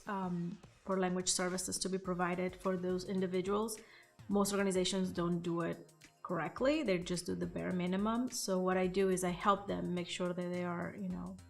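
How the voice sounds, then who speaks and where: A woman's voice: fairly low pitch, gravelly. A woman in her thirties, raised in Venezuela, speaking English in an earnest, reflective documentary interview. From Portugal and Venezuela. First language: Spanish or Portuguese. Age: 30s. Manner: earnest, reflective, frequent fillers. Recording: faint music behind.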